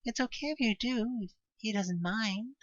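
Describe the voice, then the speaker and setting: A woman playing a childlike, innocent nun who babbles shyly and haltingly in an audio drama. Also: a little high, no room sound, dull audio, close to an everyday voice. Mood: shy, flustered. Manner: hesitant, halting.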